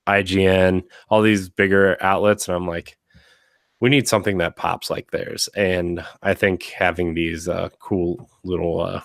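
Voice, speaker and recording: Deep-voiced man hosting a podcast, slightly raspy. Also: level tone, a little slow, no room sound, studio quality. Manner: rambling, many fillers and restarts. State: slightly raspy from being sick. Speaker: podcast host. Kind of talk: casual chat.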